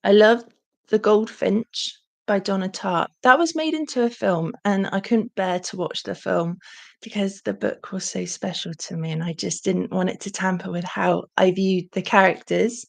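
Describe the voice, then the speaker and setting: Croaky woman chatting on a livestream. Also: mid-range voice, normal speed. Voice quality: croaky, gravelly. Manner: chatty, warm thanks, frequent ums. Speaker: channel host. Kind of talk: casual livestream Q&A chat.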